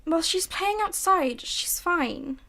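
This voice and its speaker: Radio-play housewife, nervous and hesitant, high with a gravelly edge, acted by a woman. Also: expressive, takes it slowly, sharp tone, heavily acted. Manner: hesitant, stammering, falsely cheerful. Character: uneasy housewife and mother, nervously evasive.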